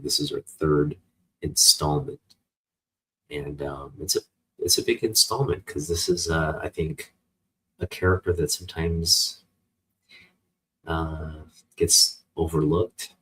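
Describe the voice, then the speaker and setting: An American man chatting casually and unscripted as a vlog host, with a husky voice and a halting, rambling delivery as if thinking aloud. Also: a bass voice, fairly bright tone, poor audio. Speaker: host of a comic book channel.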